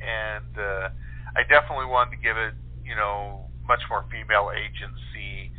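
A man's voice: lowish voice, steady tone, moderate pace. A man chatting casually as an interview guest, explaining his writing with enthusiasm over the telephone. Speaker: guest playwright. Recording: muffled narrow phone line.

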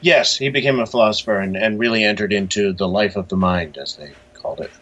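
A man's voice: on the low side, fast, fairly bright tone. A man being interviewed as an author, reflecting in a hesitant, thoughtful way as he talks. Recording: dull, lo-fi sound.

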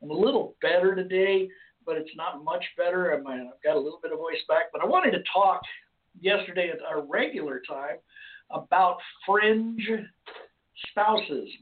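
A hoarse man hosting a call-in radio show, talking casually and rambling with restarts. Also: sits high, normal speed. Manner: rambling, with many restarts and fillers. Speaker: host. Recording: phone-like lo-fi line.

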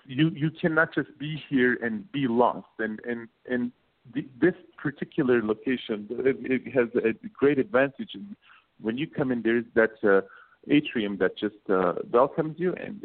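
A man with a Turkish accent, in his late thirties, answers interview questions in a halting way, stacking up fillers and restarting his phrases. His voice sits at a middle pitch. The sound is squeezed and thin, as if it came through a phone line. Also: expressive. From Turkey. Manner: halting, many fillers and repeated words. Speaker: guest, business co-founder. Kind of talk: casual interview talk. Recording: phone-like narrow band.

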